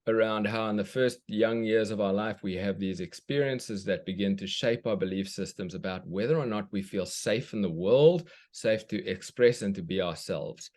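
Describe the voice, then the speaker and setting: Male guest from South Africa, forties, lowish voice, reflective and searching, loose conversational delivery. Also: darkish tone. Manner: thoughtful, searching, with frequent restarts. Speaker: guest, children's book author.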